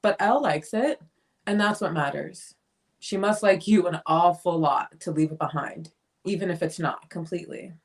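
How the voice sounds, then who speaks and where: A woman's voice: on the low side, normal speed, lightly acted. A woman playing an aloof, sardonic bohemian artist in a dramatic play reading. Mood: cool, veiled disdain.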